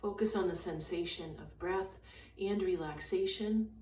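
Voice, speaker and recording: A woman guiding a meditation in a calm, gentle, unhurried way, leaving long pauses between short phrases. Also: on the low side. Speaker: meditation guide. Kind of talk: guided meditation, slow soothing instruction. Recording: roomy echo, distant mic, faint noise.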